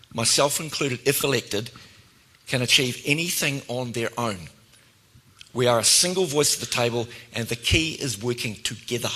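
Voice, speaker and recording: A man in his sixties with a New Zealand accent gives a prepared campaign speech. His voice is bright and firm, sitting at an average male pitch. He speaks formally and emphatically, with measured phrasing. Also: rough recording. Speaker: councillor seeking re-election.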